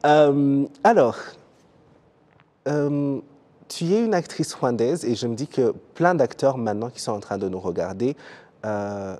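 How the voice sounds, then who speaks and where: An easygoing male host chatting on a television talk show. He talks in a loose, casual way, pausing on fillers and laughing readily, at a middle pitch for a man. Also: lively intonation, darkish tone. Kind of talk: casual TV interview.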